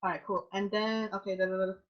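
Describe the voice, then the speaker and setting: A woman from Trinidad with a clear Trinidadian accent, hosting a relaxed live-stream interview. She talks with enthusiasm and fires up easily, at times sounding almost like she is preaching. Her voice sits mid-range, and the sound is somewhat dull and muffled. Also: medium pitch, brisk.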